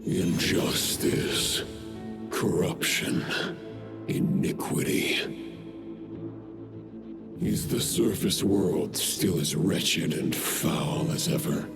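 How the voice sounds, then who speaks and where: An ancient, wrathful spirit from a fantasy game, solemn and accusing. The voice is very low and gravelly, dragging each word out over loud music. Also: male voice, full character voice. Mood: grave accusation. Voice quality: gravelly, creaky. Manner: slow, solemn, archaic and accusing. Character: ancient wrathful spirit judging mortals, male.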